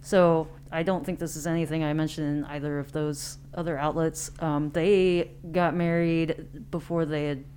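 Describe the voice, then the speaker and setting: Woman, American accent, low voice, late thirties, informal interview guest, casual storytelling, slight background noise. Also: fairly even intonation, normal speed, hardly any pauses, darkish tone. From Independence, Missouri, United States. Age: late 30s. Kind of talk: casual chat, personal storytelling.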